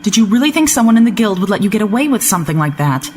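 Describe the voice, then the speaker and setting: A woman's voice: medium pitch, sing-song, racing, a little mellow, soft background music, natural voice. A woman playing a stern, exasperated starship commander in a sci-fi audio drama, curt and authoritative. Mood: angry.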